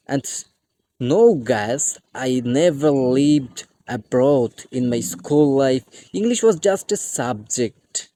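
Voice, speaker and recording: A man's voice: on the high side, warm tone. A man from Bangladesh with a Bengali accent and Bengali as his first language, giving a scripted English lesson and often calling out to his "dear friends". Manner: repeated "my dear friends" addresses. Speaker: English teacher.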